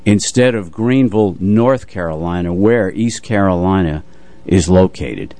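An older man co-hosting a radio show, casually telling anecdotes about music in an unhurried way. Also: sits low, warm tone.

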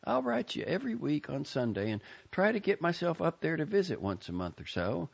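A man's voice: sing-song, vocal fry, husky, exaggerated voice. A man reading aloud, voicing a scolding but loving older aunt in a raised, pinched voice. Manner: high, pinched voice with drawn-out scolding lines. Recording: dull lo-fi recording.

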